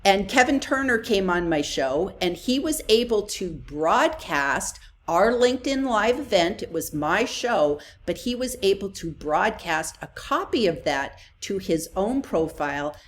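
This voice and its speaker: A woman's voice: medium pitch, sing-song, unhurried, hardly any pauses. A woman co-hosting a live online talk show, explaining practical tips in a casual, conversational way. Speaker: co-host. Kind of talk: casual chat.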